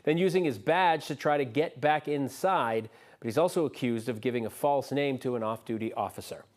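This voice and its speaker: A man reading the news as the studio anchor, steady and measured. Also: mid-range voice.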